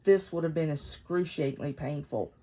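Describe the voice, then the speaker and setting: A woman's voice: low-pitched, moderate pace, warm tone. A woman in her fifties with a cold, sounding nasal and congested, chatting casually in a vlog from home. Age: 50s. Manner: rambling and chatty, with frequent laughs. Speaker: planner vlogger. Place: home, seated in a chair. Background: faint neighbors' construction noise.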